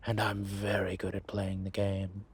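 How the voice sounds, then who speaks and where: A man playing a sly, scheming vampire spy in an audio roleplay, husky and theatrical, savoring his words in drawn-out musings. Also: deep voice, completely flat delivery, full character voice. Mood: savoring, playful. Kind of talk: scripted audio roleplay monologue addressed to a companion.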